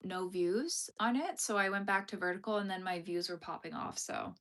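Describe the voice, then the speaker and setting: A young woman talks easily on a live stream at a mid-range pitch while she crochets. She answers her chat with a light laugh and plenty of fillers along the way. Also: normal speed, fairly bright tone. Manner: chatty, laughs often, filler-heavy. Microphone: phone streaming vertically.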